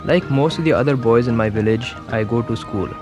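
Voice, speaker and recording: Brief: a man reading as a village schoolboy telling his own story, calm and measured, mid-pitched, music underneath. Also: lightly acted. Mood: earnest. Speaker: documentary narrator. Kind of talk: scripted documentary voice-over.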